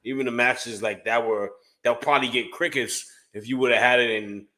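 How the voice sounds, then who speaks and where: A man's voice: mid-range voice, fairly even intonation, normal speed, sharp tone. A man co-hosting a casual podcast, slangy and loose, full of likes, dudes and bros. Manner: slangy, says like and bro a lot. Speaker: podcast co-host. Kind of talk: casual chat.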